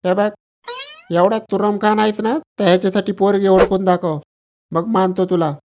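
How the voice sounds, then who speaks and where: A man's voice: sits high, flat delivery, moderate pace, background noise. An actor playing a short-tempered, mocking village father who blusters and threatens in a comedy cartoon. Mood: scornful, threatening. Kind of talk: exaggerated comedy cartoon dialogue.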